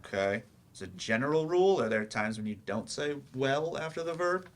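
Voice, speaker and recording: A man playing a modest working adult student in a staged play reading, with casual, unpolished, low-key speech. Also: lively intonation, fairly quick, lightly acted. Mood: curious, unsure.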